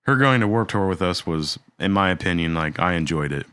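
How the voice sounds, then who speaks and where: A man in his thirties talks casually on a podcast, his low voice carrying a bright edge. He rambles and restarts his sentences in a laid-back way. The recording is dry and close. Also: fairly quick, clear, resonant tone, dry recording. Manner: relaxed, rambling, many fillers and restarts. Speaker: podcast co-host. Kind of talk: casual chat.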